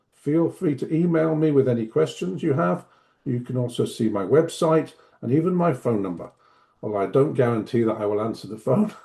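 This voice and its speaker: Male teacher trainer, 50s, slight cough, chatty and self-deprecating, mellow tone. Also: medium pitch. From Manchester, north of England. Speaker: teacher trainer and webinar presenter. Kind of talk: online webinar presentation. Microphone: video call.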